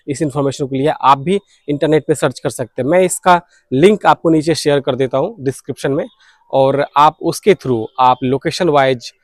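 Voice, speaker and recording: A man's voice: a little high, no room sound, clean studio sound. A man narrating informally as a vlog host, explaining things step by step. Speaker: shortwave radio vlogger, host.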